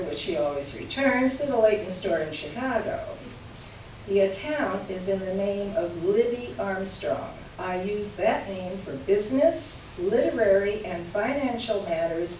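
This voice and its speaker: An indignant, well-to-do female columnist, acted by a woman delivers a formal letter in a readers theater piece. She is pointedly polite and precise, at a medium pitch. The recording is far from the microphone and heavily reverberant. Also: darkish tone, lightly acted. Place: live reading in an echoey room. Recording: distant echoey room, muffled, faint noise.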